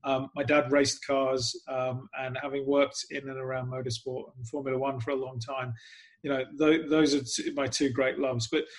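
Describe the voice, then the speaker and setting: A man's voice: medium pitch, level tone, normal speed, rough recording. An English man from the UK, chatting casually as an interview guest, self-deprecating and full of "um"s. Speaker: guest, club PR executive.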